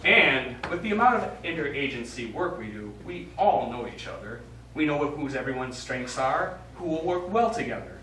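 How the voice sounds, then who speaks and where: A man's voice: mid-range voice, big pitch swings, moderate pace, bright, forceful tone, heavy reverb. Guy in a play as blunt astronaut commander, voice goes up and down a lot, distant mic, faint noise. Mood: blunt, challenging. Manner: frank, probing. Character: blunt male space mission commander questioning his crewman. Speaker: stage actor in a short play. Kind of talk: live stage play dialogue. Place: small theater studio, recorded from audience distance.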